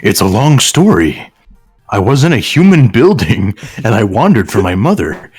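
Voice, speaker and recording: A man voicing a brooding lion, once a murdered human child, in a halting, mock-serious fan fiction reading. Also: fairly low pitch, very animated, a little mellow, slightly rough, dry recording. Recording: music behind the voice.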